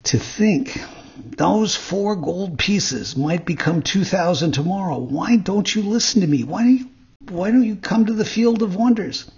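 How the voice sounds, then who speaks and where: A man voicing a sly fox swindler in a storybook, wheedling and theatrical, feigning gentlemanly sympathy. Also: high voice, animated, a little slow, slightly rough, lo-fi sound, voice pushed up, heavily acted.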